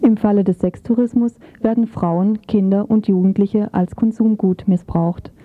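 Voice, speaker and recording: A woman's voice: mid-range voice, fairly even intonation, moderate pace. A woman reading a scripted report as a radio reporter. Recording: muffled, phone-like narrow band.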